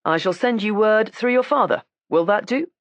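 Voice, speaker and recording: A woman reading the part of a courteous, dry-witted male police inspector who speaks calmly and politely. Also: medium pitch, normal speed, no echo, muffled recording, pitched above the performer's own voice, natural voice. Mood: wry. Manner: calm, polite and wry.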